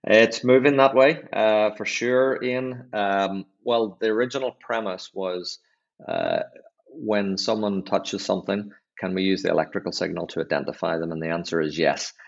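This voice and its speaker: A middle-aged man with a Northern Irish accent, explaining things in a measured, conversational way as an interview guest on slightly dull, lo-fi audio. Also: fairly low pitch, lively intonation, vocal fry. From Northern Ireland. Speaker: chief executive, podcast guest.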